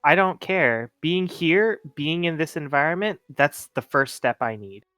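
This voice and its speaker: A man's voice: fairly high pitch, lively intonation, measured pace, pushed and bright, dry recording, studio quality. A man playing a tabletop game, speaking in character in an earnest, polite, determined way. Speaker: player.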